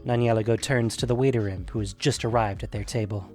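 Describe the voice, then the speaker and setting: A man's voice: lowish voice, fairly even intonation, quick pace, a little mellow, faint music behind. A man hosting and narrating a scripted fantasy audio story. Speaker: narrator and podcast host.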